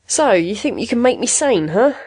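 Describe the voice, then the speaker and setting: A woman's voice: on the high side, very animated, normal speed, darkish tone, husky, pitched above the performer's own voice, low-quality recording. A woman voicing a pugnacious, self-conscious male patient in an audiobook story, with an informal, blurting manner.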